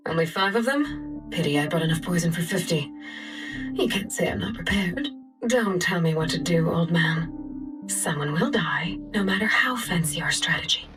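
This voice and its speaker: Actress as a cold poison chemist for a game, low breathy voice, set back from the mic. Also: female voice, lively intonation, moderate pace, recorded from a distance, over music, lightly acted, rough recording. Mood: menacing. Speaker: game character.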